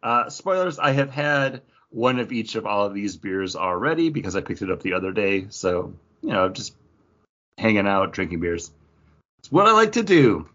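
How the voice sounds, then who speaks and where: A man's voice: lowish voice, sing-song, normal speed, a bit bright, highs cut off. A man co-hosting a podcast in casual chat, with a dry, teasing manner. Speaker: podcast co-host.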